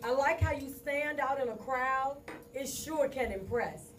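A woman's voice: sits high, measured pace, distant mic, slight background noise. A woman performing spoken word poetry live, in a rhythmic, rhyming recitation.

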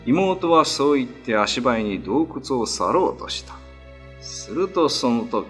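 A man's voice: medium pitch, big pitch swings, unhurried. A man narrating a scripted horror tale in a dramatic storytelling style. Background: background music.

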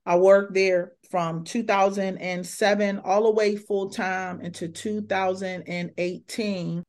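A woman in her fifties sits as a guest and recounts her path from coaching to ministry. She speaks in an easy conversational way at a middle pitch. She brings her stories alive by acting out the lines of the people she quotes. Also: a little slow. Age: 50s. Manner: lively, acts out quoted dialogue. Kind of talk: conversational storytelling interview.

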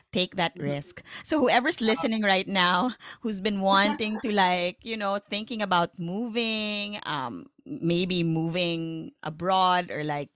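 A woman podcast host with a Filipino accent and a mid-pitched voice, recorded dry and close. She chats casually, affirming and encouraging the people she speaks to. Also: a bit bright, no echo. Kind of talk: casual conversational interviewing.

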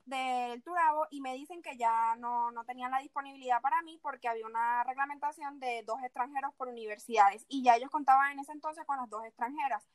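A young Venezuelan woman with a fairly high voice speaks as a podcast guest. Her accent has drifted toward Puerto Rican Spanish. She talks casually, laughing and using lots of fillers, and the sound is dry and close. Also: no echo. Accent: Puerto Rican-influenced Venezuelan Spanish. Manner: chatty, laughing, many fillers. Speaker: athlete guest. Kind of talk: casual interview over a call.

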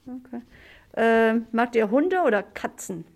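Slow, patient German teacher woman. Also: fairly high pitch, expressive. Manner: slow, encouraging questions with many fillers. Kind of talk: classroom podcast interview.

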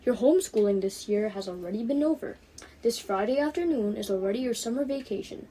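A teenage woman voicing a kindly father who reasons gently in a storybook. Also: mid-range voice, expressive, quick pace, a little hiss or hum, close to an everyday voice, rough recording. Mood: patient, firm. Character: kindly, patient, soothing father of a young girl.

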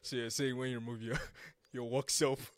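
A young man co-hosting a casual faith-based podcast, animated and teasing, tossing in "bro" often. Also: mid-range voice, moderate pace, no room sound. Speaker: podcast co-host.

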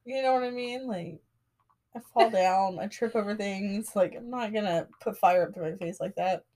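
A woman in her late twenties chatting with a friend. She teases, reacts quickly and pokes fun at herself. Her pitch is mid-range. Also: mellow. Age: 20s. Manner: teasing, quick reactions, self-deprecating. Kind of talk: casual chat.

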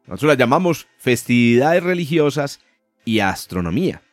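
A Colombian man with a Paisa accent, hosting a relaxed podcast talk on astronomy, lively with many restarts and fillers. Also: mid-range voice, normal speed, dry recording, soft background music. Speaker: podcast host and astronomy professor. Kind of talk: casual conversational podcast.

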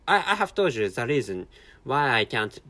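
A tired Japanese man talks alone in English with a clear Japanese accent, stopping and restarting his phrases again and again. His pitch rises and falls a lot from line to line. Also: very animated, bright, forceful tone, no room sound. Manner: halting, many restarts and repeated words. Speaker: podcast host. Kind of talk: casual solo monologue.

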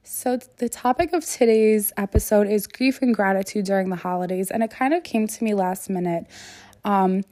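A woman's voice: mid-range voice, steady tone, normal speed, dark tone, dry recording, clean studio sound. A woman hosting a podcast solo in a casual, earnest, conversational way, peppered with "like" and "you know", her voice not quite back to normal after being sick. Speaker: podcast host.

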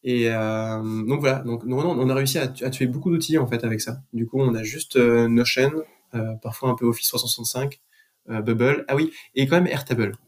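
A man's voice: medium pitch, fairly quick. A man, a podcast guest, explaining things in casual conversation. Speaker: podcast guest, business manager.

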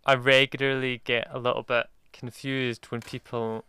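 A man from the UK speaking as a guest in a casual conversation, measured and thoughtful. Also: mid-range voice, little pitch movement, sharp tone, smooth, no echo. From United Kingdom.

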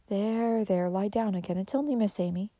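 A woman voicing a kindly, devout old nurse in a storybook, speaking in a gentle, soothing way. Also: a little high, measured pace, no room sound.

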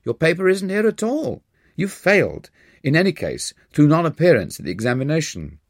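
A man's voice: fairly high pitch, big pitch swings, normal speed, breathy edge, no room sound, clean studio sound, pitched above the performer's own voice, exaggerated voice. A man voicing a stern, pompous male philosophy professor in an audiobook, scolding in precise, formal diction. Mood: triumphant. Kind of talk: audiobook character voice.

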